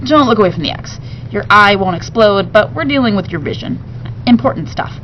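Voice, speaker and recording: Woman reading as deadpan male hospital technician, muffled recording. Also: medium pitch, a little fast, slight background noise, close to an everyday voice. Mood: reassuring, earnest. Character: joking, brisk male radiation technician giving instructions. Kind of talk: children's novel read aloud.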